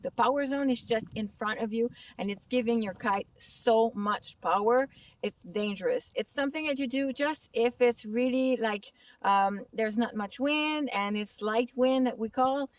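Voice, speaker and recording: French canadian woman, kite instructor, fairly high voice, a bit off-mic, outdoors in winter. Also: no echo, far from the mic. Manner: casual, chatty, slightly halting English. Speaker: kitesurfing instructor. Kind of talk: outdoor tutorial vlog. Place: snowy lake outdoors in winter.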